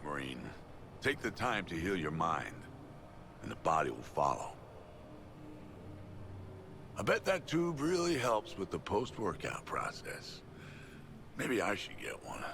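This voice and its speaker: A disciplined older mercenary assassin from a video game. His low voice moves slowly and deliberately, yet rises and falls widely. He sounds composed and matter-of-fact, in a roomy, noisy recording. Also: gravelly, heavily acted. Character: mercenary assassin, calm, mindful, disciplined older military man. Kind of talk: video game character dialogue.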